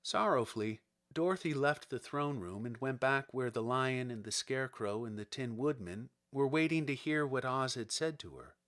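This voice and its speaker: A man narrating a children's storybook as an audiobook reader, in an expressive and measured manner. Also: mid-range voice.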